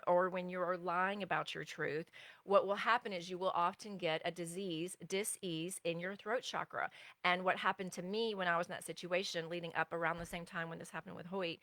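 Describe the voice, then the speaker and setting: A woman's voice: lowish voice, pushed and bright, dry recording. A middle-aged woman teaching as a spiritual relationship coach in a live stream, earnest and meandering, breaking off to answer viewer comments.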